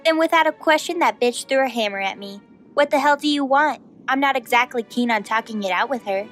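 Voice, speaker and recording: A woman's voice: sits high, fairly quick, a bit bright, faint music behind. A woman playing a brash, domineering young masked goddess in an audio drama, severe, confident, pushy and foul-mouthed. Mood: irritated, severe.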